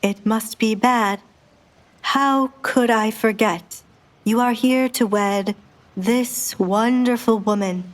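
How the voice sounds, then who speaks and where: A woman's voice: a little high, fairly even intonation, takes it slowly. Woman voicing a wary, muttering male priest. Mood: sheepish, halting. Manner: muttering, dry, reproachful. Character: wary, exasperated, well-meaning male priest.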